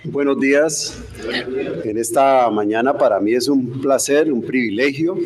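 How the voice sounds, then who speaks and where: A man formally introduces the guest preacher at a seminary chapel, stopping often to fill pauses as he goes. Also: medium pitch, a little mellow. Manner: halting, with many filler pauses. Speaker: seminary host introducing the speaker.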